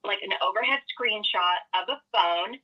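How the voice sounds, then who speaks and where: A woman's voice: mid-range voice, normal speed. A woman calling in to a radio show over the telephone, chatting casually and gossipily. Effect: telephone line. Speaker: caller to a live radio show. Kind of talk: casual gossipy phone chat. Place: phone call into a radio show. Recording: dull lo-fi telephone line.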